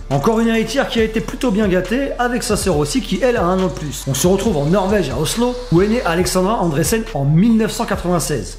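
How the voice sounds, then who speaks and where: A young man hosting a video countdown, reading a scripted list with casual, joking asides to the viewer. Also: high voice. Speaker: YouTube host. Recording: echoey room with background music. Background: music bed.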